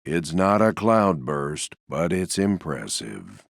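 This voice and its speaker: A man voicing a gloomy, resigned stuffed donkey in a video game, with a deep, gravelly, mournful drone and a gentle, self-effacing manner. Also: sing-song, takes it slowly, no echo, clean studio sound, exaggerated voice. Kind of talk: video game character voice lines.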